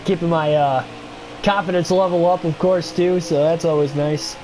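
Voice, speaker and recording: A man's voice: a little high, fairly even intonation, moderate pace, noisy background. A young man with a stuffy nose, casually chatting with viewers and commenting on a racing game during a livestream, rambling with many fillers. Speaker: gaming livestreamer.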